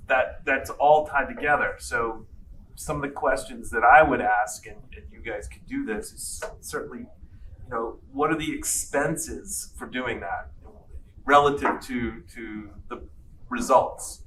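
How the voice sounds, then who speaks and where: A man's voice: medium pitch, measured pace, bright, forceful tone. A man moderating a classroom lecture informally as the instructor, recorded at a distance in a noisy room. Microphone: far from the speaker.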